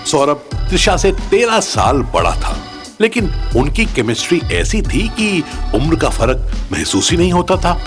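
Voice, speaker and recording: A man with an Indian accent narrates a scripted drama. His slightly high voice moves with very animated swings in pitch, set over clearly audible music. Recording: music playing behind voice.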